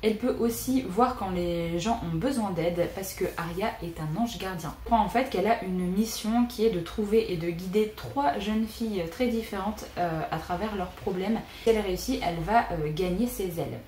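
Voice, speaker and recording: A woman's voice: medium pitch, normal speed, hardly any pauses, darkish tone. A young adult woman chatting casually about books she wants to read, with many hesitant fillers. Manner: chatty, frequent "euh" fillers. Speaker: booktuber. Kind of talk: casual book wishlist vlog. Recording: echoey room with faint noise.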